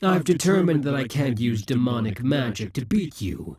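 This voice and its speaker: A man voicing a proud, vengeful male demon king centuries old, speaking slowly and theatrically in an audio roleplay, with hissing whispers at times. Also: medium pitch, sing-song, darkish tone. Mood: gloating. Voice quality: very husky, breathy.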